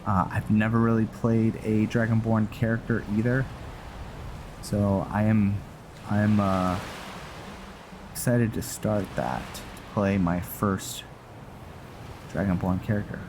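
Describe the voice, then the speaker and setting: A man with a fairly low voice hosts a gameplay video in a casual style. He thinks aloud and wanders haltingly between remarks, with clear noise and game ambience behind him. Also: little pitch movement. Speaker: gameplay video host and role-player.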